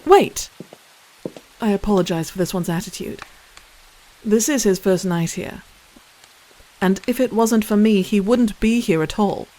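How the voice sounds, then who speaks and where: A woman plays a young princess in an audio drama, kindly and self-assured. Her voice sits at a medium pitch, and she speaks in a proper, gracious way. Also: unhurried, long pauses, mellow, lightly acted, rough recording. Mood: apologetic, welcoming. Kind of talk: audio play acting.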